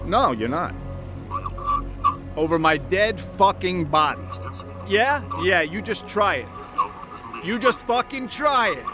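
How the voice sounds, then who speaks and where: An actor playing a blunt, stubborn, profane sea captain and treasure hunter in an audio drama. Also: male voice, sits high, animated, slow pace, pauses often, over music. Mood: furious. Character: gruff, stubborn, hot-tempered male boat captain and treasure hunter. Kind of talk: full-cast audio drama acting.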